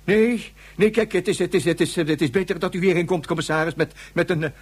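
An actor playing a dim, skeptical police inspector in a science fiction radio play, slightly husky, repeating himself and easily flustered. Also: male voice, high-pitched, fairly quick. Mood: nervous, stammering. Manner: hesitant, stammering, repeating himself.

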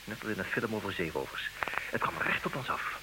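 A man acting in a comedic radio play as a formal, businesslike suitor, stubborn and dryly exasperated, speaking with measured, theatrical emphasis. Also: fairly low pitch, lively intonation, natural voice. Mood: sleepy, dryly storytelling. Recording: noisy, hissy old radio recording.

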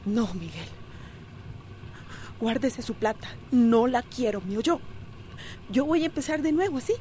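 Wounded housewife, high, soft-toned voice. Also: female voice, animated, measured pace, slight background noise. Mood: defiant, bitter. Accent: Costa Rican. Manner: reproachful, wounded, formal in address. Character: wronged, hurt housewife standing up to her husband. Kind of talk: radio soap opera acting.

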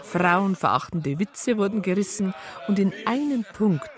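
Over a clearly audible crowd, the wry narrator of a radio crime play. His voice is high and lively. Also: a little slow.

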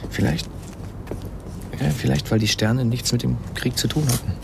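A man acting in a radio play as a suave, curious astronomer with playful vanity and a gently ironic way of talking. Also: medium pitch, moderate pace, creaky, noisy background. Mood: reflective.

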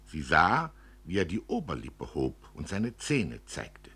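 A man with a low, husky voice narrates literary fiction for radio. His delivery is expressive, moving through a wide range of pitch. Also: a little slow.

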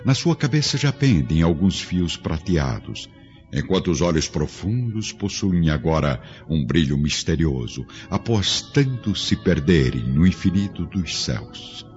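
A man's voice: low voice, very animated, unhurried, a little mellow. A man narrating a radio drama in a solemn, formal, theatrical manner. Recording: dull lo-fi audio with music behind.

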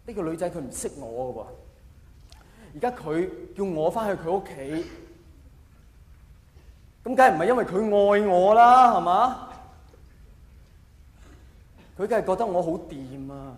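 A man with a Hong Kong accent performing a self-mocking, mock-earnest stand-up comedy monologue in an animated way. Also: sits high, moderate pace, pauses often. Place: large live venue with long reverb. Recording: echoey hall, faint noise.

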